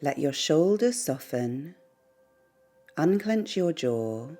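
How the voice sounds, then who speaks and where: A woman leading a guided meditation in a soothing, gentle, unhurried way, pausing between short phrases. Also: low-pitched, sing-song. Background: background music.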